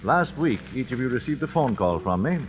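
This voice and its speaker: An actor playing a bereaved father who narrates in a solemn, formal, deliberate manner, in 1940s radio style. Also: mid-range voice, sing-song, lightly acted. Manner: measured, formal, deliberate storytelling. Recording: muffled old radio, music behind.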